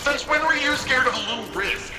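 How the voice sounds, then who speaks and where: An actor playing a brash, restless young warrior in a video game, talking in an upbeat, cocky, joking way over loud game music. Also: male voice, very high voice, expressive, rough recording. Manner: upbeat, cocky, quick to joke.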